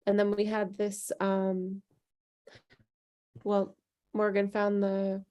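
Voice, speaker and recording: A woman hosting a casual group chat in a relaxed conversational way. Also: medium pitch, takes it slowly. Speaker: host.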